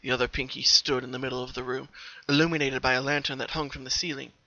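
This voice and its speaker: A man's voice: medium pitch, flat delivery, quick pace, pushed and bright, dull audio. A man reading scripted story narration in a dramatic style. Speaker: narrator of fan fiction.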